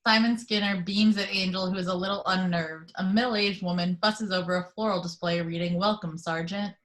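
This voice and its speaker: A woman's voice: fairly low pitch, fairly even intonation, a little fast, fairly bright tone. A woman reading scene headings and action lines as narrator of a script table read.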